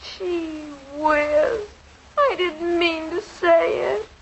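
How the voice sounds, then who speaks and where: A woman playing an excitable, childish young daughter in a 1950s radio sitcom. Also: very high-pitched, extremely slow, heavily acted, low-quality recording. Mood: flustered. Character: excitable young schoolgirl, the family's little daughter. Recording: old radio broadcast, faint noise.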